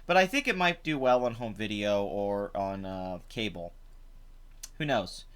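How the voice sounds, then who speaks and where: Host type: young guy, chatty and rambling, voice rough from the night before, resonant tone. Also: fairly low pitch, animated, measured pace, a bit bright. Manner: rambling, chatty, many fillers. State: rough voice after drinking. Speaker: live stream host. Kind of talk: casual live stream commentary. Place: home.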